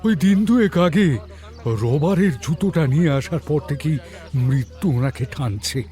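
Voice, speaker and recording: Guy doing older village man for audio story, resigned n gloomy, soft mellow tone, halting, bit higher pitched. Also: expressive, soft background music. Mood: grave, uneasy. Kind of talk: audio drama acting.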